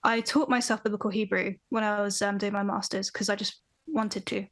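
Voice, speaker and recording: A young woman, a band vocalist guest on a podcast, talking in a thoughtful, animated way with frequent restarts over a video call from a room at home. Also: medium pitch, brisk. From Britain. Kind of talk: casual interview chat. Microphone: video call, webcam.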